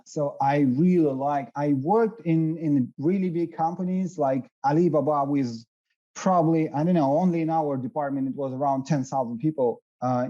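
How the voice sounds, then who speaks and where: A man, a guest answering questions haltingly while searching for words. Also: fairly high pitch, mellow, muffled recording. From Russia. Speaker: guest, SEO director. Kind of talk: casual interview.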